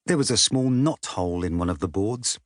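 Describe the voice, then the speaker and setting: A male audiobook narrator reads a children's story. His voice sits fairly low but moves expressively up and down, recorded very dry and close. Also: expressive, a little fast, dry recording.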